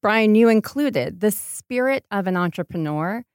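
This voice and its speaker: A woman's voice: medium pitch, lively intonation, unhurried, a little mellow, clear, resonant tone, no echo, studio quality. An American woman giving reflective, conversational interview answers, making her points with emphasis. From Maine, United States. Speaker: podcast guest, startup entrepreneur.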